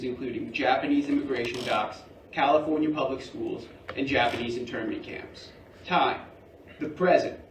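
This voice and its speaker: A man with a slightly high voice reads stage directions in a measured, deliberate way. He sounds set back from the mic in an echoey room with faint noise. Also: distant mic. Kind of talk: scripted narration at a staged play reading.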